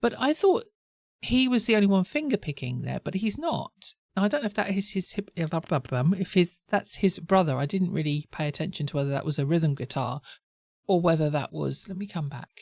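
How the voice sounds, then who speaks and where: A woman's voice: fairly low pitch, expressive, normal speed, gravelly, a little mellow, no echo, studio quality. A woman hosting a reaction video, talking in a rambling, self-correcting chatty way while stuffed up with a cold and a sore throat. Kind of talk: casual chatty commentary.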